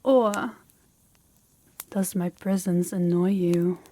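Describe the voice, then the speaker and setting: A woman's voice: fairly low pitch, steady tone, slow, mellow. A woman playing a commanding, haughty young princess in a scripted fantasy audio roleplay, speaking in an imperious, condescending way. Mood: teasing, haughty.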